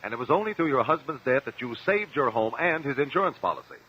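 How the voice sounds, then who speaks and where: An actor playing a sharp, persistent defense lawyer in a vintage American radio drama, speaking formally and articulately. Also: male voice, medium pitch, animated, fast, lightly acted. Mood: accusing. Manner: articulate, pressing, courtroom formality. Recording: dull vintage radio broadcast.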